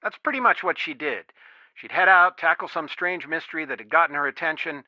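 A man's voice: a little high, a little fast, no room sound. An actor playing a worried, protective middle-aged father in a video game, earnest and pleading, heard through a telephone filter. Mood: guarded, explaining. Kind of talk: naturalistic video game dialogue, one side of a phone call. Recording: dry, band-limited phone-like sound.

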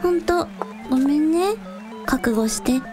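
A woman's voice: high-pitched, animated, measured pace, music in the background. A woman playing a casual, blunt young village idol in a radio drama, with breezy, slangy, matter-of-fact delivery. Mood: resolute. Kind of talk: Japanese radio drama acting.

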